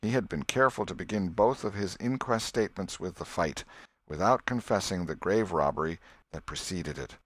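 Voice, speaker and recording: A man reading a storybook as an audiobook narrator, in a measured, expressive way. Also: deep voice, dry recording.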